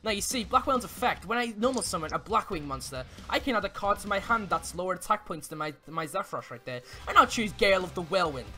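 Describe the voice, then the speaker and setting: Bright, animated young man playing cards. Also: sits high, fast, hardly any pauses. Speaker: player in a card-game roleplay. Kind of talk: casual gameplay roleplay chat.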